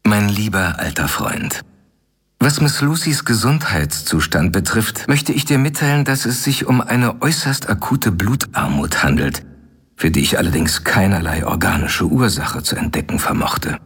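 An actor playing an earnest young doctor in a radio play, measured as he reads his letter aloud. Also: lowish voice, expressive, a bit bright, breathy edge, heavily acted. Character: earnest young male doctor, worried friend.

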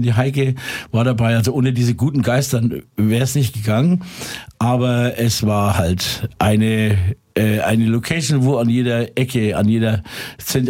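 A middle-aged man from Franconia, a musician and former club owner, telling lively anecdotes in a radio interview. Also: mid-range voice, slightly rough.

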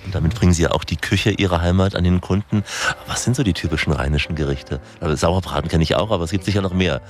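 A man's voice: low voice, fast talker, faint music behind. A man hosting a travel report, moving between narration and interview questions. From southern Germany or further south. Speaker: host and reporter.

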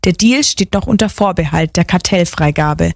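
Brisk female radio reporter. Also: lowish voice, creaky, no room sound. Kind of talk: news report. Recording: dry studio sound.

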